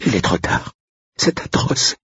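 A man's voice: a little slow, fairly bright tone, no echo, lo-fi sound, natural voice. A man reading an audiobook, voicing a frightened, tearful woman cook who whimpers and shrieks. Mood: distraught. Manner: shrill, whimpering, panicky.

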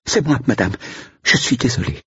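A man's voice: big pitch swings, moderate pace, bright, forceful tone, slightly rough, no room sound, dull audio, heavily acted. A man reading an audiobook, voicing a frightened, tearful woman cook who whimpers and shrieks. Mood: distraught. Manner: shrill, whimpering, panicky.

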